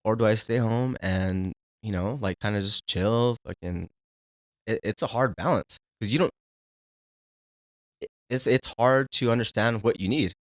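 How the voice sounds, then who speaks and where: A man's voice: fairly low pitch, unhurried, dry recording, clean studio sound. A man in his thirties, a podcast guest, talking in a laid-back, candid way and swearing when riled. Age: 30s. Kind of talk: casual conversation.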